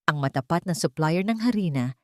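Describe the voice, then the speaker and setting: A woman's voice: lowish voice, animated, brisk, darkish tone, dry recording, clean studio sound. A woman storyteller reading a scripted, dramatic narration.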